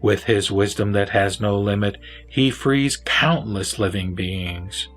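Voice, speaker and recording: A man's voice: fairly low pitch, slow pace. Solemn male scripture reciter over music. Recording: background music under the voice.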